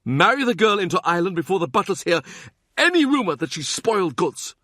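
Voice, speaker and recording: A man's voice: sits high, big pitch swings, a little fast, pushed and bright, dry recording, clean studio sound, pitched above the performer's own voice, exaggerated voice. A man voicing a domineering, scornful older cardinal who mocks and bullies as he speaks. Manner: mocking, bullying, theatrical.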